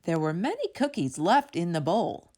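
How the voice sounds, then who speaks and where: A woman storyteller reading a children's book aloud in a deliberate, expressive narrating style. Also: on the low side.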